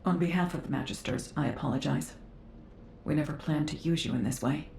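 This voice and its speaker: A composed female magister in game dialogue, formal and polite. Her voice sits low, in a very echoey space with faint rumble behind it. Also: brisk, vocal fry, a little mellow. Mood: apologetic, formal. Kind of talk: dramatic video game dialogue. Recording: roomy echo, faint rumbling noise.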